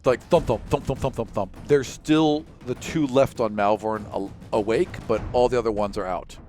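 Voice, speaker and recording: A man with a mid-pitched voice narrates a tabletop role-playing game as game master. His delivery is casual and a little halting, as he works out each description while talking. Music plays behind him. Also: darkish tone.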